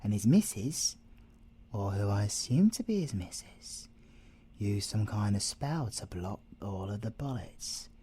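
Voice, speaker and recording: A British man playing a cocky, flirtatious male siren in an ASMR audio roleplay, breathy and teasing, often slipping into whispers. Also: lowish voice, animated, measured pace, mellow. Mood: wry storytelling. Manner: teasing, theatrical, drops into whispers.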